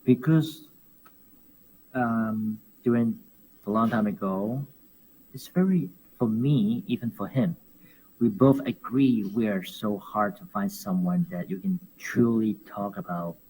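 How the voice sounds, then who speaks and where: Man, interview guest, low-ish voice, animated and prone to laughing, stumbles and repeats words. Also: a little slow, breathy edge, low-quality recording. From Hong Kong. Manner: animated, laughs often, repeats words while searching for phrasing. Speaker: professional wrestler guest. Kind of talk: casual interview chat.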